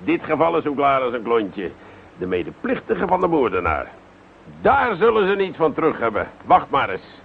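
Pompous police commissioner, muffled radio sound. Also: male voice, a little high, very animated, moderate pace, gravelly. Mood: gloating. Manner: muttering to himself, blustery, self-important. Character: pompous, self-satisfied, bumbling male Dutch police commissioner. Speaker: actor in a radio play. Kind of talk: radio drama acting. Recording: muffled, noisy old radio recording.

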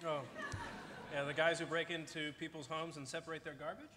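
A man's voice: on the high side, level tone, brisk, a crowd in the background, natural voice. A young man playing an eager, slightly clueless boyfriend, earnest and hesitant, at a live table read. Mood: amiable. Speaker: actor. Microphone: table microphone. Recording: echoey convention hall, faint noise.

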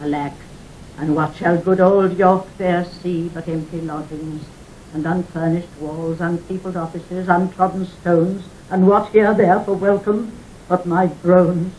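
An actress as a slow, grieving noble widow. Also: female voice, fairly low pitch, steady tone, mellow, close to an everyday voice. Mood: desolate grief. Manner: declamatory, halting, sorrowful verse delivery. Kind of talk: classical Shakespearean audio drama acting. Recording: noisy 1960 audio drama recording.

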